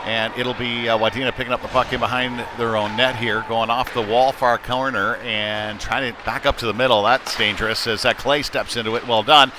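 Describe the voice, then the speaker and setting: Guy doing live hockey play by play, regular pitch, kinda unpolished n chatty, noisy rink behind him. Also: steady tone, brisk, hardly any pauses. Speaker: play-by-play sports commentator. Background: ice rink game noise.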